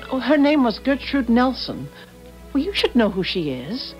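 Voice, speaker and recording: An actress playing a genteel, composed, inquisitive well-to-do wife in a 1970s radio drama. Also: female voice, fairly high pitch, very animated, normal speed, muffled recording, faint music behind. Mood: poised, probing.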